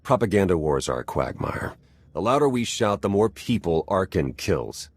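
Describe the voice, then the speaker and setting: Game strategist, low voice, husky, pragmatic, measured, sober. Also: male voice, sing-song, no room sound, full character voice. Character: pragmatic, level-headed male military strategist.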